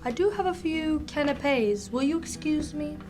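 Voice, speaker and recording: A woman's voice: high voice, measured pace, music in the background. A woman playing a talkative, genteel, effusive literary hostess in a radio comedy. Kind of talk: radio drama acting.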